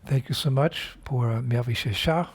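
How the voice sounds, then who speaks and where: An older man speaks in a husky, slightly breathy voice at an ordinary middle pitch. He talks slowly and reflectively, as a spiritual teacher in a recorded video message, often pausing and restarting his sentences. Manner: unhurried, reflective, with frequent fillers and restarts.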